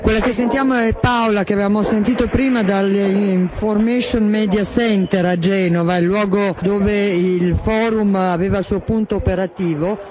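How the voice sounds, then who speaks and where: A man's voice: high-pitched, fairly even intonation, normal speed, few pauses, a crowd in the background. A man presenting live radio, questioning a caller.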